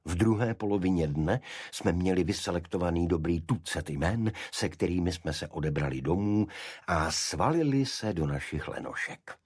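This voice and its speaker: A man reading a detective story as a scripted audiobook narrator, in a measured literary manner. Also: deep voice, lively intonation, darkish tone, breathy edge, no echo.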